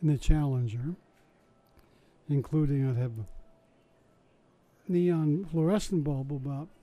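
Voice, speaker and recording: An older man reminisces in a relaxed interview, his voice very dark and mellow. He tells his stories in a chatty, wry way, often restarting mid-sentence and dropping small asides. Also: a little high, slow. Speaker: interviewee recalling his science show work. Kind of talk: casual oral-history storytelling.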